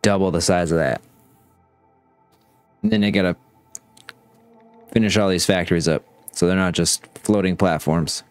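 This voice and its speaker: A man's voice: low-pitched, steady tone, moderate pace, long pauses, clean tone, faint music behind. A man casually commenting on his factory-building game as he plays, thinking aloud as he checks production. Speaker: gaming channel host.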